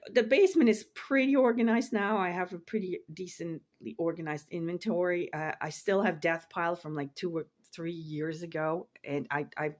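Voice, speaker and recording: A woman in her forties from Switzerland, chatting casually to camera in her home office, rambling and repeating words as she trails off. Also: sits low. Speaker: online reseller and vlogger.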